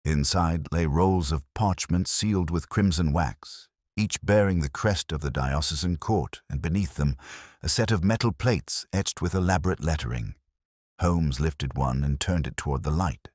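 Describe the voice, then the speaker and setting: A man narrating a scripted mystery story as an audiobook narrator, in a measured, solemn way. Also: rumbling low, darkish tone, no room sound, studio quality.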